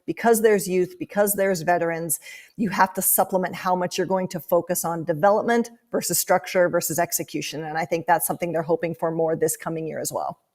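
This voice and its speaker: A woman giving thoughtful sports analysis as a guest, speaking in an articulate, measured way and stressing key words. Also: on the low side, fairly even intonation, a little mellow. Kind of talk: thoughtful hockey analysis in an interview.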